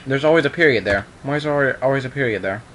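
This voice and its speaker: A man's voice: medium pitch, quick pace, fairly bright tone, a little hiss or hum. A young man doing an informal amateur dramatic reading, dropping into casual offhand asides, recorded at home. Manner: informal, breaks off into offhand remarks. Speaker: online video maker reading a horror story aloud.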